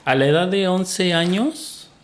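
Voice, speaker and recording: A man's voice: sits high, a little slow, a little hiss or hum. Young mexican guy, state of mexico accent, chill podcast guest rambling through his story with lots of fillers. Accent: central Mexican Spanish. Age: young adult. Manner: relaxed, uses many fillers like "este". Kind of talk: casual interview storytelling.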